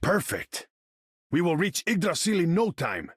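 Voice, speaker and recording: A man's voice: on the high side, huge pitch swings, unhurried, husky, no room sound, clean studio sound, full character voice. An actor playing a proud, haughty sea king warrior, speaking in a regal, pompous, theatrical manner as a video game character. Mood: haughty, commanding. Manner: regal, pompous, theatrical declamation in formal speech.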